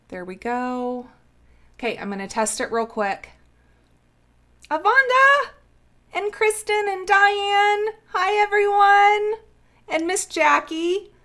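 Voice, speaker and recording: A woman's voice: very high-pitched, bright, forceful tone. A woman hosting a casual craft live stream, bubbly and sniffly from a cold, greeting viewers by name.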